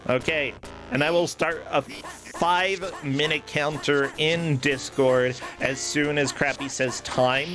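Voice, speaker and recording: Male esports commentator, slightly high voice, enthusiastic and chatty, with clear music underneath. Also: fairly even intonation, takes it slowly. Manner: enthusiastic, conversational. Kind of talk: live gaming tournament commentary. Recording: game music behind the voice.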